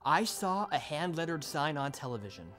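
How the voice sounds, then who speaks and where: A woman with a deep voice recites scripted lines. Her pitch swings expressively from word to word, with faint music behind. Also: very animated, normal speed, a bit bright. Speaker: readers theater performer.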